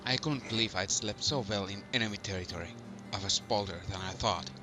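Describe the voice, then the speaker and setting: A man reading scripted lines as the solemn first-person narrator of a fantasy game, in a measured way. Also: on the low side, music in the background, pitched below the performer's own voice, close to an everyday voice.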